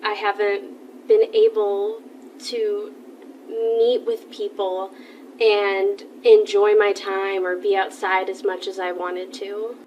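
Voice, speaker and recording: Young woman, halting, distant mic. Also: mid-range voice, lively intonation, darkish tone. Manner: halting, uses like and um. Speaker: student interviewee. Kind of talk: casual interview answers. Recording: distant mic, faint noise.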